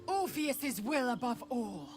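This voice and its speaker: A woman's voice: on the high side, big pitch swings, music in the background. A woman playing a proud, fierce alien warrior in a video game, speaking with solemn, clipped intensity. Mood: resolute, solemn. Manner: measured, clipped, solemn intensity, dropping to hushed tones. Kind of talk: video game character acting.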